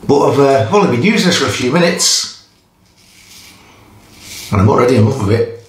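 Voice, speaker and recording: Middle-pitched Geordie man in his fifties, easygoing shaving vlogger, roomy bathroom sound. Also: lively intonation. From Newcastle area, England. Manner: chatty, stop-start, pauses between phrases. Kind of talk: casual chat while shaving. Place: small tiled bathroom. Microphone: camera in front of face. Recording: echoey bathroom, faint noise.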